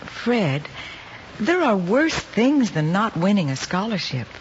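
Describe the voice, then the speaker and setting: Nice wry teacher, super animated mid voice, old dull radio play. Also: female voice, mid-range voice, slow pace. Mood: frank, reassuring. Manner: dry humor, reassuring. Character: wry, kindly, encouraging female high school teacher. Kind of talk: 1950s radio drama acting. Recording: muffled, faintly noisy old radio recording.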